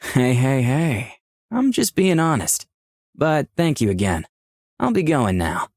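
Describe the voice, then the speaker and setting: An actor playing a composed, charming incarnation of lust, in visual novel dialogue and inner monologue. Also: male voice, medium pitch, very animated, slow, darkish tone, no room sound, studio quality, exaggerated voice.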